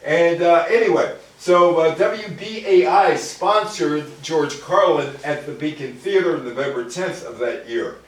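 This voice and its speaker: Male lecturer, slightly higher-pitched voice, halting talk from notes with asides, recorded far from the mic. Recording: very echoey room, distant microphone.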